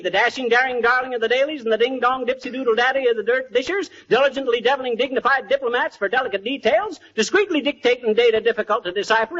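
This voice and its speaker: An actor playing a bumbling, boastful middle-aged American husband in a 1940s radio comedy, with folksy theatrical patter. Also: male voice, very high-pitched, pushed and bright, full character voice. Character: bumbling boastful husband, fast-talking wisecracking know-it-all. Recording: muffled vintage radio, live studio audience.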